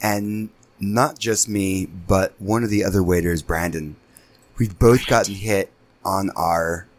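A man's voice: low voice, steady tone, slow pace. A man co-hosting a podcast who tells anecdotes in a dry, understated way, recorded in a home studio. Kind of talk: casual anecdote.

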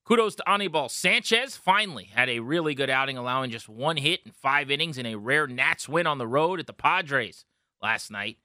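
A man's voice: medium pitch, lively intonation, normal speed, bright, forceful tone, no room sound, studio quality. An American man hosting a sports podcast, talking fluently and emphatically as he comments and interviews.

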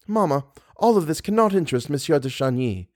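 A man's voice: on the high side, lively intonation, a little fast, darkish tone. A man voicing a proud, guarded young woman who speaks firmly and formally. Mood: earnest, evasive. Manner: firm, formal.

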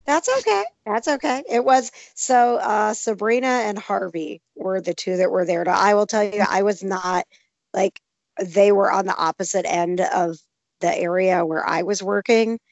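A woman with a hoarse, gravelly voice, still recovering from losing it, chatting casually with lots of laughs and restarts. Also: medium pitch, moderate pace, no echo, dull audio. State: recovering from lost voice. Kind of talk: casual chat.

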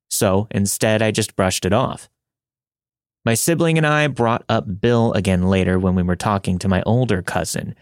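A man's voice: lowish voice, no room sound, studio quality. A man reading scripted narration as the host and storyteller of a horror podcast. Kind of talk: scripted narration of listener-submitted horror stories.

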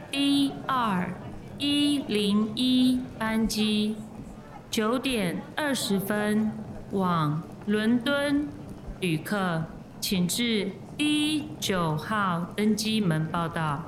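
Formal airport gate announcement, super slow, echoey pa, noisy terminal behind. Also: female voice, medium pitch, lively intonation.

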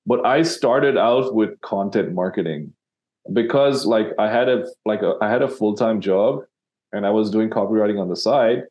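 A man's voice: fairly low pitch, moderate pace, a little mellow, dull audio. A man from Sri Lanka, a copywriter guest in an interview, talking casually and rambling with many fillers and restarts.

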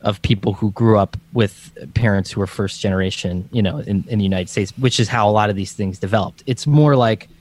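A man's voice: on the low side, fairly quick, hardly any pauses, darkish tone. A young man with a Scranton, Pennsylvania accent, co-hosting a casual sports podcast and rambling with lots of fillers. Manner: rambling, hedging with many fillers. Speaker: podcast co-host.

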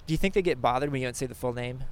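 A man's voice: medium pitch, racing, clear, resonant tone. A man hosting a podcast, chatting in an easygoing, teasing way and reading an ad. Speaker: podcast host. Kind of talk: casual chat and ad read.

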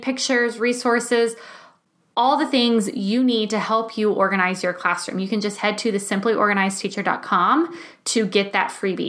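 A woman's voice: medium pitch, fairly bright tone. A woman, hosting a podcast in an upbeat, chatty way. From Texas. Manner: upbeat, chatty, says y'all. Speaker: podcast host. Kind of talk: podcast intro and interview.

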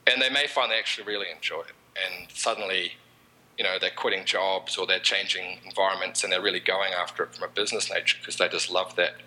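A man's voice: sits low, fairly even intonation, quick pace, pushed and bright, rough recording. A man with a New Zealand accent, a podcast guest talking in a rambling, easygoing way. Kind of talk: casual interview chat.

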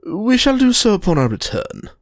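A sly foreign nobleman in an audiobook, smooth and sonorous, with a knowing amusement under every word, as a male narrator puts him on. The voice sits well above the reader's own and swoops lightly. The recording is close and dry. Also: high-pitched, huge pitch swings, normal speed, dry recording, voice pushed up. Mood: amused, smooth. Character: suave, mocking foreign nobleman of middle age.

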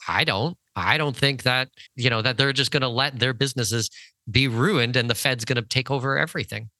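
Male podcast host, crisp bright tone, dry sound, emphatic and opinionated conversational delivery. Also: mid-range voice, brisk, dry recording. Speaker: podcast host and financial consultant.

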